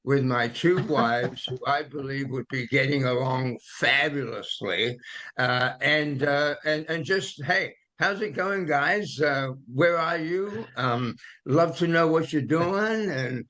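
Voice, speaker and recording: A man in his eighties, born in London and raised in Australia, with an Australian accent, gently telling personal stories as an interview guest with many hesitant fillers. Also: on the high side, slow pace. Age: 80s. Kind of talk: reflective conversational storytelling.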